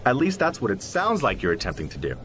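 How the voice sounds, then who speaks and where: An actor playing a sympathetic, cautious zoologist friend in an audio drama, conversational and probing. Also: male voice, mid-range voice, huge pitch swings, fast, fairly bright tone, a little hiss or hum. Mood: curious, friendly.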